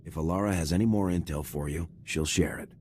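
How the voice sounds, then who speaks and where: A resolute male commando captain in a video game, played by an actor. His voice is very deep and his delivery quick and confident, with faint ambience behind it. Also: darkish tone, a little hiss or hum, lightly acted. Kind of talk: video game dialogue acting.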